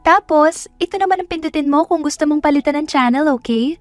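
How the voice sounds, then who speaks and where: A woman's voice: high voice, brisk, no room sound, faint music behind, pitched above the performer's own voice, natural voice. A woman voicing a bubbly, kind, chatty young female housemate in a romance audio drama. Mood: chatty, instructive. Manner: exclaiming, chatty, bossy in a friendly way.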